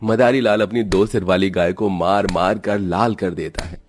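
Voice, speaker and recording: A man narrating a cartoon moral story in a steady, dramatic storytelling style. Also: deep voice, moderate pace, faint music behind.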